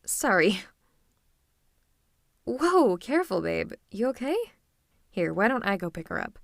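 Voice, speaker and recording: A woman's voice: mid-range voice, no echo. Girl doing a teasing lady supervillain, coaxing and fond, asmr roleplay, super dry close sound. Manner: coaxing, drawn-out endearments and monologues. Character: teasing, theatrical female supervillain, fond of her nemesis. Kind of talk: intimate roleplay audio acting, ASMR.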